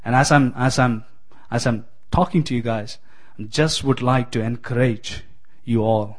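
Keralan man preaching with many pauses. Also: mid-range voice, fairly even intonation. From Kerala, India. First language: Malayalam. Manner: hesitant, many fillers and restarts, friendly. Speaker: guest preacher. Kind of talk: sermon.

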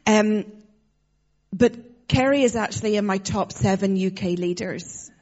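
A woman's voice: mid-range voice, unhurried, darkish tone, lo-fi sound. A woman with an Irish accent, a guest preacher giving a conversational sermon, chatty and joking with frequent fillers. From Ireland.

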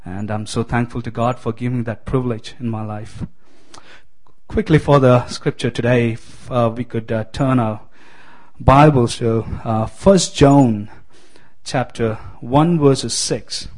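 Guy from giving a sermon, lots of ums and restarts, middle pitch, friendly. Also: fairly even intonation, unhurried, a little mellow, low-quality recording. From Kerala, India. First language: Malayalam. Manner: hesitant, many fillers and restarts, friendly. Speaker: guest preacher.

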